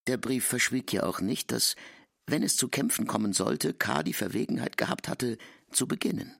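Male narrator for literary drama: heavy fry, husky tone, reading prose. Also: mid-range voice, expressive, normal speed, fairly bright tone, dry recording, clean studio sound. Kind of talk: scripted literary reading in a radio play.

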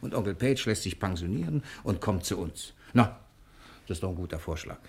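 Husky, low-voiced charming rogue. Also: male voice, animated, brisk, full character voice. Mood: reassuring. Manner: soothing, persuasive, assured. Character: charming fugitive husband, confident, optimistic, quick-witted rogue. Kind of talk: 1960 German radio drama acting.

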